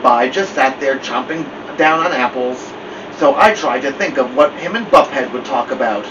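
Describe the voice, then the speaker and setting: Man reading a story, distant under loud hum. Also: mid-range voice, normal speed. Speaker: storyteller reading a novel aloud. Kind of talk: animated first-person storybook narration. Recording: dull, distant mic with loud air-conditioner hum.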